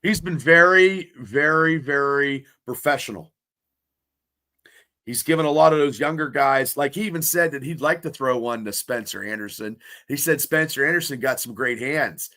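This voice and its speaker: A man co-hosting a sports podcast, talking in excitable, rambling bursts full of restarts, with a stuffy nose. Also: medium pitch, normal speed. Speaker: podcast co-host. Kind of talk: casual sports podcast chat.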